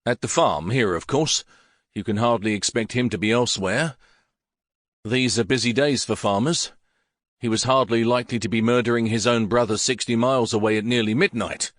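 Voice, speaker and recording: A man's voice: mid-range voice, moderate pace, no room sound, studio quality, natural voice. A man voicing an impatient middle-aged lawyer who speaks formally and grows heated easily. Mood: indignant.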